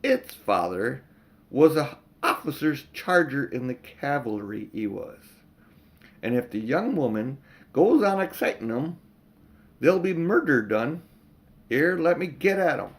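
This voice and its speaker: A man's voice: mid-range voice, sing-song, unhurried. A man doing a folksy, coaxing London cabby. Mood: urgent. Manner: folksy, dropped sounds, rough slang. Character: kindly, coaxing working-class London cab driver in a storybook.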